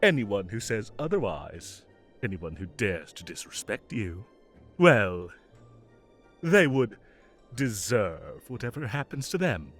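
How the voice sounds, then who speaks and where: A man's voice: lowish voice, very animated, slow pace, faint music behind. Man playing a theatrical, swooping fae king of summer. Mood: doting, grand. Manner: theatrical, playful, grandiose, mock-indignant. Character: whimsical fae king of summer, doting on his cats. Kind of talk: dramatic audio roleplay.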